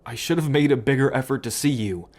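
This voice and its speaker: A man's voice: medium pitch, fast talker, a little mellow, natural voice. A man playing a young, apologetic brother estranged from the mob, pleading and hesitant, in an audio roleplay. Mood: remorseful.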